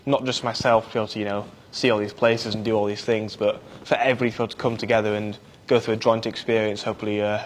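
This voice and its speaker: A man's voice: on the low side, level tone, very fast, hardly any pauses, a little hiss or hum. A young British man, a naval cadet, answering earnestly with many fillers. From United Kingdom. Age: young adult.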